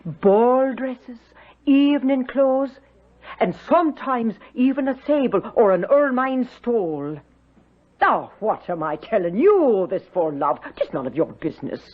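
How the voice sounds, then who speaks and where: A woman's voice: on the high side, very animated, a little slow. An actress playing a chatty, motherly Irish housekeeper in a 1970s radio drama, with folksy, lilting, gossipy talk. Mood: confiding, gossipy. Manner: folksy, voluble, lilting storytelling. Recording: dull lo-fi radio recording.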